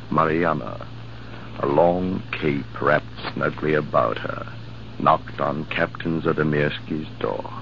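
A man narrating a 1940s radio drama in a slow, measured storytelling manner. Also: heavily acted. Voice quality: deep, gravelly. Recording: muffled old radio broadcast with faint hiss.

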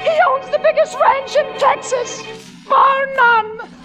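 A man's voice: very high-pitched, slow pace, over music, pitched above the performer's own voice. A man voicing an overwrought, melodramatic young heroine in a musical comedy sketch. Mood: tearful pleading. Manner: pleading, overwrought. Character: melodramatic young heroine pleading with her father.

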